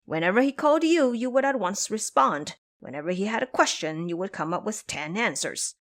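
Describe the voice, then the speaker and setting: A bumbling pig-man monster acted out by a woman for an audio drama. He whines and pleads like a sulky fool. The delivery swings up and down in pitch. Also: expressive, a little fast, a bit bright, natural voice. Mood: pleading, coaxing. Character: foolish, whining, pouty male pig monster disciple.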